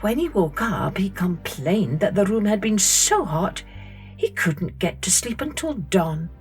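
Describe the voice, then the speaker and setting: A woman narrating scripted stories for children in a measured, deliberate way with pauses. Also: mid-range voice, big pitch swings, soft background music. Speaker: podcast host and storyteller.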